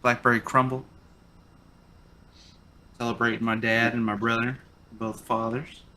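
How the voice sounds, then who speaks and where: Easygoing man, bright voice, casual podcast chat. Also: mid-range voice, little pitch movement, measured pace. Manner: easygoing, chuckles between lines.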